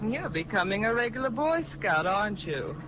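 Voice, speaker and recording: Woman playing scheming american widow in a 40s crime show, mocking, lively voice, muffled recording. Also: mid-range voice, normal speed, close to an everyday voice. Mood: mocking, menacing. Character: coy, scheming gangster's widow, flirty with veiled menace. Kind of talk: 1940s radio acting. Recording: muffled, noisy 1940s radio recording.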